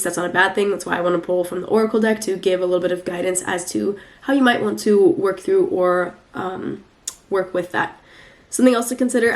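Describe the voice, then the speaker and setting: Young woman, flu-congested and nasal, chatty tarot reader who rambles with lots of fillers. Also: mid-range voice. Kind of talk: casual weekly tarot reading.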